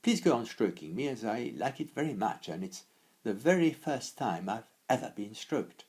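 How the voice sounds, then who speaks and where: A man's voice: mid-range voice, very animated, moderate pace, darkish tone, pitched above the performer's own voice, lightly acted. A man voicing a friendly, affectionate female talking dog in a storybook, chatty, polite and self-assured. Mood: pleading, affectionate.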